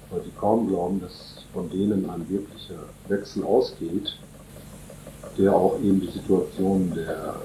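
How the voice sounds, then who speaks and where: A man as a guest in a radio interview, speaking haltingly with many fillers and restarts. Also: low voice, warm tone, reverberant, a little hiss or hum, rough recording. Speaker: interview guest from a solidarity group.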